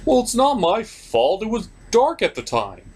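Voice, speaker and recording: A man puts on a weepy superhero puppet for a comedy show. The voice sits high and strained, pleading and sobbing. He comes across as insecure, defensive and desperate to be liked. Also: wildly swooping pitch, a little slow, a little mellow, slightly rough, heavily acted. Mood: defensive, whiny.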